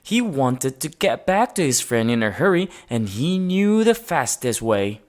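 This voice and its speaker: A woman with a low voice narrates a children's picture book. She takes her time, and her pitch moves in wide, lively swings. Key words get dramatic emphasis. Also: measured pace. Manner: expressive, dramatic emphasis, sound-effect words. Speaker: storybook reader. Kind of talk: read-aloud children's story narration.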